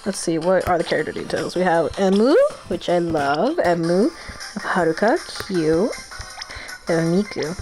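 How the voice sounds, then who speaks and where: A woman's voice: lowish voice, animated, a little slow, darkish tone, faint music behind, low-quality recording. A woman streamer giving casual, slangy gameplay commentary with plenty of swearing.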